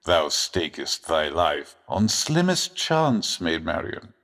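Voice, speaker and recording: An actor playing a gloating, self-assured medieval English sheriff, declaiming grandly in old radio drama style. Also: male voice, low-pitched, big pitch swings, takes it slowly, a bit bright, heavily acted. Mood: menacing, triumphant. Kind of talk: old-time radio adventure acting, archaic English.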